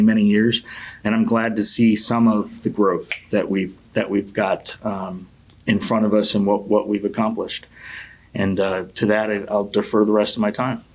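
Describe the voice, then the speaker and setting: Halting middle-aged man, low flat voice. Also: rough recording. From Connecticut, United States. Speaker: city council member and candidate. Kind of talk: campaign opening statement.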